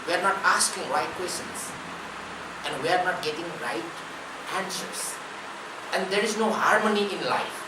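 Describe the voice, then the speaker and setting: A woman as a spiritual teacher giving a discourse, measured, speaking in short listed phrases. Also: lowish voice. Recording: roomy, distant mic, ambient noise.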